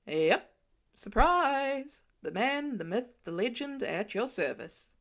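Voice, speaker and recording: A woman's voice: on the high side, lively intonation, slow pace, fairly bright tone, full character voice. A woman voicing a confident, wisecracking grown man who talks in a dry, teasing way. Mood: wry. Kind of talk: audiobook fan reading.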